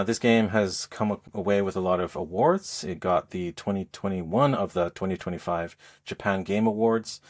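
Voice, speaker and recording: Deep-voiced man chatting about games. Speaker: podcast host reviewing games. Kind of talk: casual game review chat.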